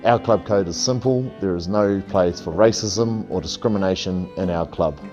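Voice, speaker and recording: A man narrating a club video in a calm, steady, sincere way. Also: deep voice, normal speed, faint music behind. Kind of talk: scripted narration. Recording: dull lo-fi audio.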